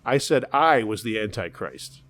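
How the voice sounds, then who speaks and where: A man's voice: lowish voice, lively intonation, a little slow, a bit bright. Male narrator as a laid-back coworker, dry and matter-of-fact.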